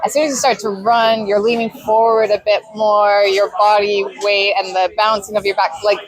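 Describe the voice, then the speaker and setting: A woman's voice: mid-range voice, flat delivery, normal speed, poor audio. A woman in her thirties with a Canadian accent, chatting animatedly as an interview guest and laughing often. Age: 30s. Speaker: guest adventure athlete. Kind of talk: casual interview chat. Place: festival marquee.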